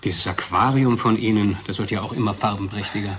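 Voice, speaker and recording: An actor playing a pragmatic, calculating company colleague in a German radio drama, with a cajoling, conversational manner. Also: male voice, on the low side, steady tone, brisk, noisy background, natural voice. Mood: relieved, affable. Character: pragmatic, persuasive male pharmaceutical company colleague, smoothly calculating.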